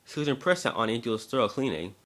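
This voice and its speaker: Bright-voiced man narrating a story. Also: medium pitch, sing-song, quick pace. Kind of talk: scripted story narration, dramatic reading.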